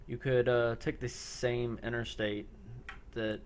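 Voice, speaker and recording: A man running an improvised role-play as game master, thinking aloud in halting phrases. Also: mid-range voice. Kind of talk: improvised narration of an online tabletop-style role-play. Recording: clear background noise.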